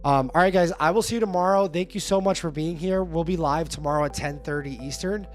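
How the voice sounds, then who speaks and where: A man with a hoarse, fading voice chatting casually on a livestream, upbeat and talking straight to his viewers. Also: on the high side, a little fast, faint music behind. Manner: upbeat, hype-driven, addresses viewers directly. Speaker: livestreaming app developer.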